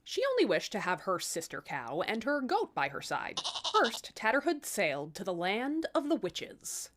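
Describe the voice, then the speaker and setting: A woman's voice: medium pitch, wildly swooping pitch, normal speed, fairly bright tone. A woman telling a fairy tale in an irreverent, conversational way, with swearing and sardonic asides. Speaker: storyteller, series host.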